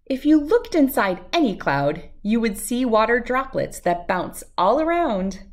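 Expressive American woman reading to children. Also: a little high, measured pace. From United States, lived in Wisconsin. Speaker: children's read-aloud host. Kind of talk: storybook read-aloud with casual introduction.

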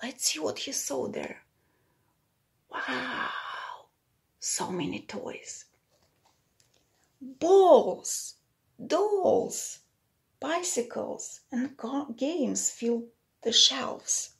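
A woman's voice: high-pitched, sing-song, extremely slow, gravelly, pauses often. A middle-aged woman reading a picture book aloud to young children, explaining it simply and putting questions to the listeners. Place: small plain room indoors.

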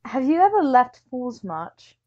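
A giggly woman chatting during a tabletop game. She talks slowly, with a high and very lively voice. The sound is dry and close. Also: dry recording, highs cut off. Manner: giggly, often laughing. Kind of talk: casual game banter.

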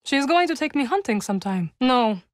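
A woman playing a solemn, guarded young witch warrior in a video game, dryly wry, with measured, formal phrasing. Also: sits high, big pitch swings, dry recording, studio quality. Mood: dry, composed. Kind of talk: video game character dialogue.

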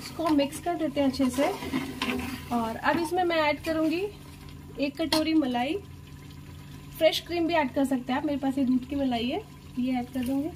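A woman's voice: sits high, moderate pace, pauses often. A woman hosting a cooking video, chatting through each step for her viewers in her home kitchen. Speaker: cooking channel host. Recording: noisy home recording.

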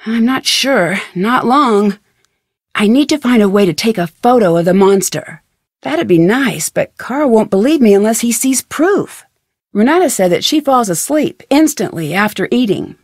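A woman's voice: a little high, animated, moderate pace, dry recording, studio quality. A young woman voicing a friendly, curious, plucky amateur detective in a video game, with earnest, conversational delivery. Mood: earnest, determined.

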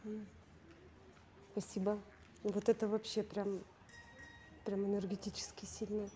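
A woman in her thirties filming a casual travel vlog at arm's length, chatty and quick to laugh and exclaim. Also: mid-range voice, flat delivery, warm tone. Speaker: vlogger. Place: outdoors in a city and indoors in a museum. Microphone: handheld camera at arm's length. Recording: dull lo-fi camera audio with street noise.

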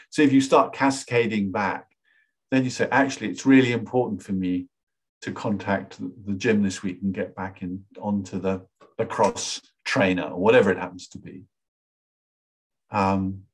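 A man in his sixties teaching a webinar from a small room at home, talking over a webcam in a relaxed, rambling way with lots of "you know". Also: fairly low pitch. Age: 60s. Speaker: workshop facilitator. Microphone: webcam on a video call.

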